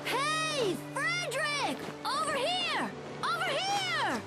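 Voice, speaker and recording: High, lively, eager young boy. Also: takes it slowly, over music, crowd noise, heavily acted, poor audio. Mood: excited calling. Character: eager, friendly young boy, earnest and cheerful. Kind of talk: English-dubbed anime game cutscene acting.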